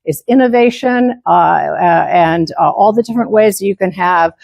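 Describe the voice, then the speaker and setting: A woman's voice: on the low side, moderate pace, creaky, darkish tone, no echo. Older New woman giving a talk. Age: 70s. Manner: many filler words and restarts; former teacher who moves about while speaking. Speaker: section manager giving a club presentation. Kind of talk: conference talk.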